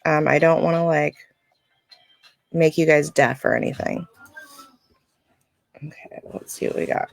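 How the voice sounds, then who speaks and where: Scratchy, low-voiced woman reading oracle cards. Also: steady tone, measured pace, vocal fry, pauses often, no echo. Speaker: live-stream oracle card reader and host. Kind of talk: casual spiritual card reading to a live audience. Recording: music playing during a live stream.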